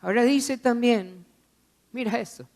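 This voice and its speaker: A man's voice: extremely high pitch, unhurried, gravelly, a little mellow. A man preaching a sermon, exhorting the listener with repeated phrases and rhetorical questions.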